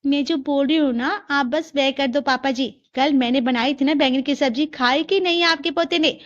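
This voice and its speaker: A woman voicing a spirited, scheming young daughter-in-law in animated story dialogue, chatty and dramatic, talking to herself. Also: high-pitched, normal speed, dull audio, pitched above the performer's own voice, natural voice. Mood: determined.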